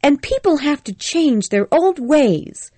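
A headstrong young Southern belle, fretful and pleading in a raised, lilting voice, as a woman reading a storybook puts her on. Her pitch swings widely. The recording is dry and close. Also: sing-song, slow, darkish tone, no echo, exaggerated voice.

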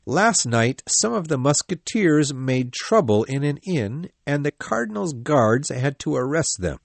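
A man playing a stern, proud, hot-tempered older captain of musketeers in an audiobook, with a forceful, commanding manner. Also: on the high side, sing-song, a little slow, vocal fry, no echo, exaggerated voice. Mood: furious, scolding. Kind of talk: audiobook dialogue acting.

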